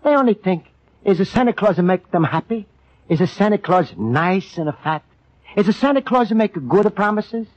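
Excitable Italian immigrant Santa, high lilting voice. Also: male voice, normal speed. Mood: earnest pleading. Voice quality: broken English with extra vowels. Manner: earnest, apologetic, eager to please. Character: kindly, excitable immigrant man standing in as store Santa. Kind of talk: old radio comedy-drama acting. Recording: dull, lo-fi old radio recording.

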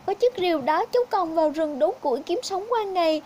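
Woman playing humble young storybook woodsman, very high pitch, polite, earnest, honest. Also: animated, a little fast, darkish tone, slight background noise. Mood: sad, plaintive. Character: poor, honest young orphaned woodcutter man.

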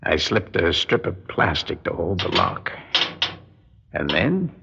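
A deep, creaky-voiced male con man, world-weary and wary. He talks in a wry, street-wise way, like classic radio crime drama, on a dull lo-fi recording. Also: very animated, slow pace, heavy background noise, full character voice. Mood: tense narration. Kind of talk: classic radio drama acting with first-person narration.

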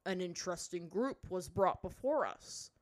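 A dignified, stern older chief, voiced by a woman reading a story, speaks formally and with measured authority. He weighs his words like a ruler addressing his throne room. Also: on the low side, animated, lightly acted. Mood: stern, musing. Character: dignified, stern older male high chief of fire people.

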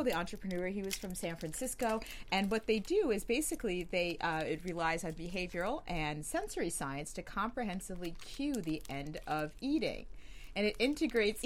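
A woman's voice: fairly low pitch, sing-song, fairly quick, a little hiss or hum. A woman co-hosting a casual TV after-show in a playful, goofy way, giggling often. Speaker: co-host.

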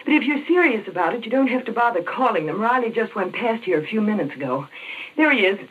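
Tinny, anxious radio ingenue. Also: female voice, medium pitch, expressive, quick pace, slightly rough. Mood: disbelieving. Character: anxious, naive young female shop employee drawn into murder. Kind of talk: 1940s radio thriller acting. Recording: tinny, noisy old radio recording.

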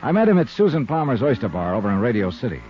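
An actor playing a wry, self-assured male insurance investigator who talks and narrates briskly and dryly in a 1950s radio drama. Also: medium pitch, big pitch swings, slight background noise, natural voice. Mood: crisp narrating. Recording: dull lo-fi 1950s radio recording.

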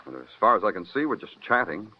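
Brooding low-voiced detective, old radio. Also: male voice, very animated, fast, slight background noise. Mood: stern, pressing. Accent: American. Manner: clipped questioning, moody poetic narration. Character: brooding, hard-nosed male police detective. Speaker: narrator and lead actor. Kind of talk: hardboiled radio crime drama acting. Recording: muffled vintage radio recording, loud music.

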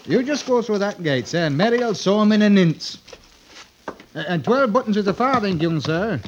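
A man's voice: high-pitched, lively intonation, moderate pace. A man playing a busy, chatty, obliging old-time shopkeeper in a classic radio drama. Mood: brisk, obliging. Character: brisk, chatty male clothing-factory shopkeeper in old England. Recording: muffled, noisy old radio broadcast.

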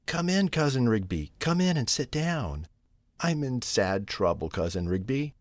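A man voicing an anxious, tearful mother cat, raised pitch. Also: big pitch swings, unhurried, dry recording. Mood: tearful welcome. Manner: fretful, lamenting. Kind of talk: children's storybook character voice.

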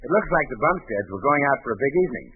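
A man's voice: medium pitch, sing-song, racing, narrow, tinny audio. A man working as announcer, reading 1940s radio ads and show introductions. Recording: thin old radio recording with faint music.

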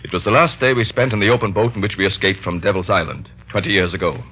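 A lowish, husky male voice plays an earnest doctor. He is grave and authoritative, pressing his point urgently in an old radio play with muffled sound. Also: fast. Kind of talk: old-time radio drama acting. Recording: dull vintage radio recording.

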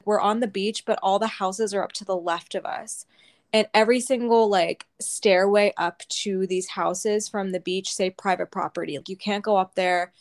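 A young woman with a medium-pitched voice, telling a story casually as a podcast co-host. She speaks in an animated way and swears without holding back. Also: normal speed.

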